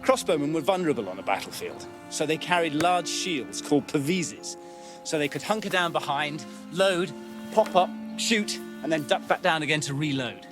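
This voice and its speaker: A man's voice: on the high side, expressive, normal speed. A man giving explanatory documentary commentary as a historical weapons expert. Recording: music clearly behind. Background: music.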